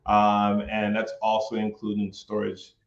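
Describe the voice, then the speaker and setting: A man's voice: lowish voice, monotone, measured pace. A man from New York chats loosely and with plenty of energy, dropping slang as he talks. His voice comes over a video call from a roomy, echoing space. The sound is muffled and lo-fi. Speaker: real estate investor guest. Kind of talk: casual livestream interview. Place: home in Atlanta, echoey room. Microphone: webcam or camera mic on a video call. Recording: echoey, dull video-call audio.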